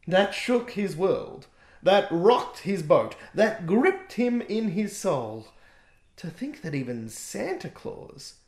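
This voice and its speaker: Guy reading a rhyming kids book out loud, slow and lively, kinda echoey room. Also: fairly high pitch. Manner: gentle, expressive read-aloud. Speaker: author reading his book. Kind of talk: rhyming storybook narration.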